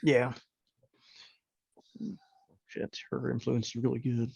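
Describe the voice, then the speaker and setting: A man with a creaky, mellow voice chats casually as a player in a tabletop game. The sound is dry and a bit dull, with little sense of space around him. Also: mid-range voice, monotone, takes it slowly, no room sound.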